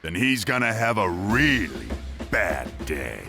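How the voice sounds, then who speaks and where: An actor playing a tough, dryly wry warrior comrade in a video game. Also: male voice, lowish voice, big pitch swings, takes it slowly, gravelly, music in the background, exaggerated voice. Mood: wry menace. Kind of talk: video game cutscene acting.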